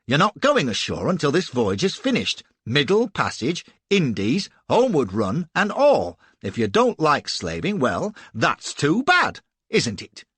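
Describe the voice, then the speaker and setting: A man voicing a cold, menacing middle-aged slave-ship captain in an audiobook, quietly threatening and commanding. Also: on the high side, huge pitch swings, a little slow, slightly rough, dry recording, voice pushed up, full character voice. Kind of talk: audiobook character voice.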